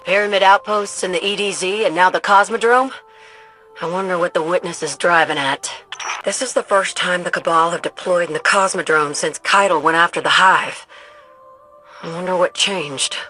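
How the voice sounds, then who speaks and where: An actress playing a spirited, stubborn pilot in a video game, with frank, folksy, casual phrasing. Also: female voice, on the low side, moderate pace, sharp tone, faint music behind. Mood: curious, defiant. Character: plain-spoken, spirited female pilot, stubborn and loyal. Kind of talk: scripted video game dialogue.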